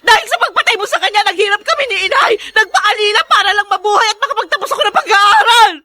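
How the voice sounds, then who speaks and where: An actress playing a bitter young wife in grief, tearful and accusing in radio drama style. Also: female voice, extremely high pitch, animated, fast talker, bright, forceful tone, slight background noise, exaggerated voice. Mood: furious, tearful. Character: anguished, bitter young wife grieving her lost baby. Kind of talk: Tagalog radio drama acting.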